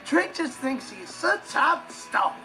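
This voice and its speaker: A man's voice: extremely high pitch, very animated, takes it slowly, full character voice, rough recording. An actor playing an earnest, awkward teenage boy with an American accent, delivering theatrical comedic monologues. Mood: indignant. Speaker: sitcom lead. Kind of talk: scripted comedic monologue to camera. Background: music.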